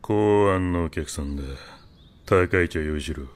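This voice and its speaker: A man playing a condescending middle-aged yakuza boss in an anime, with a rough, drawling, patronizing delivery. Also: low-pitched, measured pace. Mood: businesslike, sly. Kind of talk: anime drama acting.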